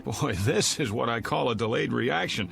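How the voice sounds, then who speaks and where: An actor playing an irritable, hungover husband in a suspense drama, sarcastic, bewildered and exasperated. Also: male voice, mid-range voice, normal speed, vocal fry, a bit bright.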